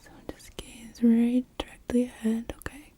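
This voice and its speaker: A woman doing an ASMR roleplay as a gentle hospital nurse, whispering softly and reassuringly through an exam. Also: a little high, monotone, a little slow, slight background noise. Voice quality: whispery, breathy. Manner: gentle, reassuring, checking in with short questions. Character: gentle night-shift hospital nurse examining a patient. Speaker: ASMR performer playing a nurse.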